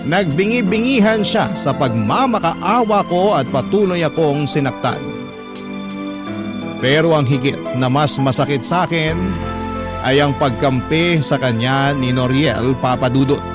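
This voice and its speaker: A man's voice: a little high, unhurried. A man narrating a dramatic first-person story, reading out a letter as a radio storyteller. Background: background music.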